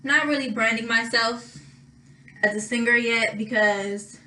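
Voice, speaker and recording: A young woman with a bright, slightly raspy voice talks her way through a makeup tutorial, pausing often and thinking aloud. Her tone sits a little high for a woman, and a faint room hiss runs underneath. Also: fairly even intonation. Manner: thinking aloud, drawn-out pauses while applying makeup. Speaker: makeup vlogger. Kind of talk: casual makeup tutorial chat.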